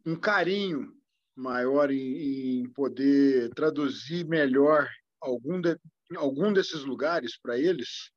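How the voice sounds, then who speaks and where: Very slow-speaking man, dull audio. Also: mid-range voice, no echo. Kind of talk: casual interview question.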